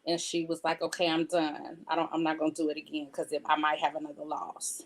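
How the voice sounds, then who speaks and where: A woman's voice: sits low, monotone, a little fast, rough recording. A woman, an author being interviewed, chatting casually and telling anecdotes by acting out what other people said.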